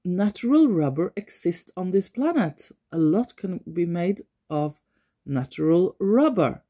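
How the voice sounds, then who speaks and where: A Norwegian woman talking unscripted in her living room, pausing often as she searches for words. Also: on the low side, big pitch swings, warm tone. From Norway. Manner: thoughtful, searches for words, frequent pauses. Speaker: environmental vlogger. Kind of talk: unscripted talk to camera.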